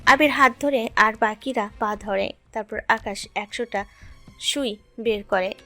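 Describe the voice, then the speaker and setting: A woman's voice: fairly high pitch, a little slow, bright, forceful tone. A woman reading scripted narration for an audio story. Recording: background music throughout.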